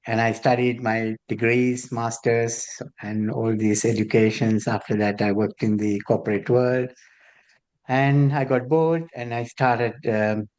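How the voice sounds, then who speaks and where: A man's voice: mid-range voice, a little mellow. A man from Sri Lanka, a therapist and trainer introducing himself matter-of-factly on a video call. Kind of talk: self-introduction on a group call.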